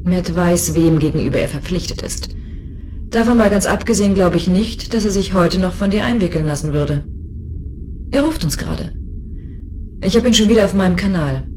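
A woman's voice: on the low side, animated, moderate pace, darkish tone, a little hiss or hum, natural voice. An actress playing a cool, determined, sardonic female government commissioner in a German science fiction audio drama. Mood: sardonic, firm. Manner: sardonic, self-assured. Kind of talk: German audio drama acting with inner monologue. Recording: music bed behind the voice.

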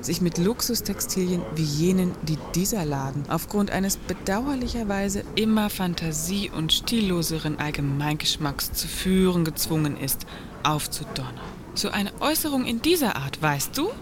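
A woman acts a haughty, well-off lady shopper in a satirical radio play. Her voice sits fairly low and she talks in pompous, affected, wordy sentences. Clear background noise runs behind her. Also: animated, normal speed, close to an everyday voice. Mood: pompous, self-satisfied. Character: haughty, snobbish affluent woman shopper, self-righteously pitying beggars.

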